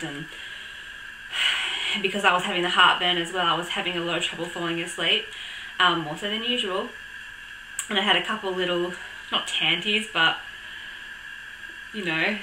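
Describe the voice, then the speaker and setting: A young woman with a croaky voice from a cold, chatting casually to camera with lots of ums and little laughs. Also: fairly low pitch, reverberant, noisy background. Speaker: vlogger. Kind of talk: casual chat.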